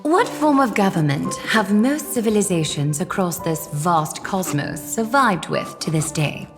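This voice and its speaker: A woman's voice: mid-range voice, expressive, measured pace. A woman voicing a genial, thoughtful hostess in a game, speaking in an articulate, musing way. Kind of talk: game voice acting. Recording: long hall reverb. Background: soft music.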